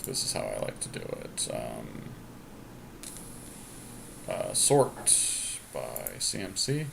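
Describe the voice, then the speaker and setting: A man's voice: rumbling low, huge pitch swings, slow, gravelly, a little hiss or hum. A man talking casually through card game deck choices, deliberating and reasoning aloud as he goes. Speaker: podcast host drafting a card game deck.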